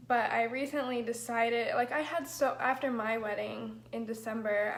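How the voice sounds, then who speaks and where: A young woman with an allergy-hoarse, crackly voice, chatting through a makeup livestream, rambling with lots of "like" and "um". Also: fairly high pitch, a bit bright, echoey room. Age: young adult. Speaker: makeup vlogger. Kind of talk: chatty get-ready-with-me livestream. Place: small bedroom, indoors. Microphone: built-in mic of a camera at arm's length.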